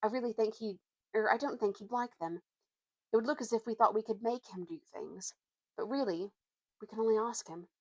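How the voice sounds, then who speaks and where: A woman voicing an earnest, secretive schoolboy in a story reading, speaking solemnly and haltingly in old-fashioned schoolboy slang. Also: fairly high pitch, no room sound, lo-fi sound, close to an everyday voice. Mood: solemn, thoughtful.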